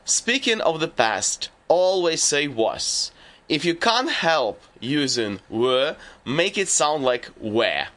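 A man giving scripted narration for a language lesson, laying out rules and lists in a measured, deliberate way. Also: fairly high pitch, big pitch swings, fairly bright tone. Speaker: language teacher.

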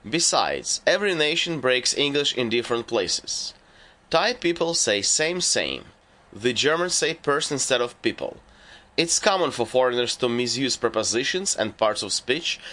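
A man giving scripted narration for a language lesson, laying out rules and lists in a measured, deliberate way. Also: medium pitch, bright, forceful tone. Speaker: language teacher.

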